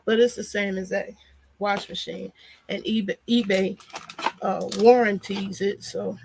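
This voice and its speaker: A hoarse, nasal woman vlogger chatting casually and frankly to her viewers in a loose, rambling way. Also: mid-range voice, fairly even intonation, unhurried, noisy background. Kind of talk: casual chat to a live audience.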